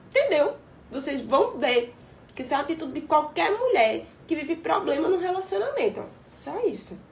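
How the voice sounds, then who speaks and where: A woman, talking casually and defensively to her followers, blunt and expressive. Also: high voice, gravelly, a little hiss or hum. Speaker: reality show participant. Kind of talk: casual social media video.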